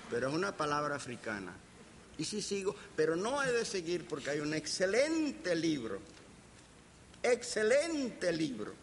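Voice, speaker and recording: Slow-speaking older Caribbean man in a reverberant hall. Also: a little high. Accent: Caribbean Spanish. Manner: deliberate, with pauses and playful humour. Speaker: professor giving a lecture. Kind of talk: anecdotal academic lecture to an audience. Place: lecture hall. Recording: reverberant hall, background hiss.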